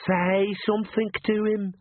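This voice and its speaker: A male reader plays a scornful young woman who lives inside someone's head. The delivery is shrill, stretched out and bossy, in storybook style. The sound is narrow and phone-like. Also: little pitch movement, unhurried, no echo. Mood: scornful, urgent. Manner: shrill, squeaking and screaming.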